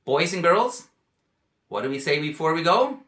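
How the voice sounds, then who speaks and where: A man from Canada, giving a video lesson to young pupils in slow, simple, friendly speech. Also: on the high side, big pitch swings, a bit bright. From Canada, British Columbia. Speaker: English teacher for children. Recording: roomy echo.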